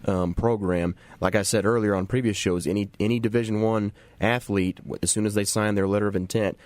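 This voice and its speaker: Male sports talk analyst with a deep voice and dry studio sound, talking through team prospects. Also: steady tone, brisk, darkish tone, no echo. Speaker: football analyst. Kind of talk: sports talk show discussion.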